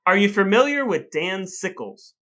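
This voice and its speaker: A man's voice: high voice, big pitch swings, moderate pace, a bit bright. An American man co-hosting a podcast with wry, mock-formal, wordy phrasing, moving between scripted reading and chat. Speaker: podcast co-host.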